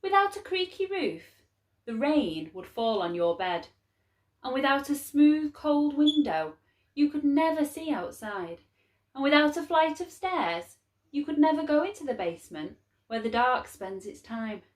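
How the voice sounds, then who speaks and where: Slow-paced woman reading a children's story. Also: sits high, echoey room. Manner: measured, expressive, clearly phrased for children. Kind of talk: storytime read-aloud for young children.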